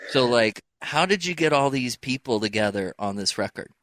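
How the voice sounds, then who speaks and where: A man from the United States hosts a relaxed, informal interview. His mid-pitched voice is bright and forceful, with a very dry sound, and he chats casually as he asks questions. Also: moderate pace, no echo. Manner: informal, chatty. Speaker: interview host.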